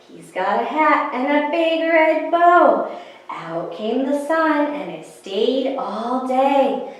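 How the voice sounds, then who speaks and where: A woman leading a children's library story time, reading aloud in a sing-song way and speaking directly to young listeners. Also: high voice. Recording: very echoey room, distant mic.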